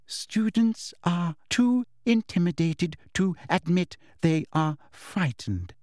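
A man plays a condescending older woman official in an audiobook, high-pitched and sickly sweet. She stretches out each word with slow, ringing precision, as if to someone stupid. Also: expressive, dry recording, clean studio sound. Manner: ringing, loud, slow, over-enunciated delivery.

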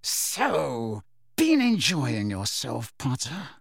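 A man's voice: mid-range voice, wildly swooping pitch, drawn-out words, vocal fry, breathy edge, no room sound, clean studio sound, full character voice. A man voicing a bitter, menacing adult schoolmaster in an audiobook, sneering with icy sarcasm. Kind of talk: dramatic audiobook character voice.

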